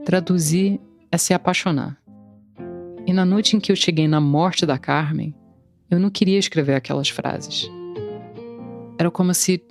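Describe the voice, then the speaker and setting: Wry woman narrating a personal story. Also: fairly low pitch. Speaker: translator and podcast narrator. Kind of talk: scripted first-person narration.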